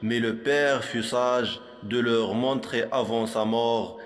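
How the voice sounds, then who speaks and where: A man reciting poetry for a primary school lesson in a measured, didactic manner, with a long hall-like reverb on his voice. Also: medium pitch, steady tone, soft background music.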